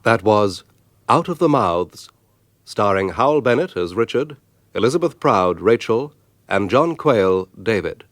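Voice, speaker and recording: Low-voiced male radio announcer, dry sound. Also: animated, a little slow, dry recording. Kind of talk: scripted radio closing credits.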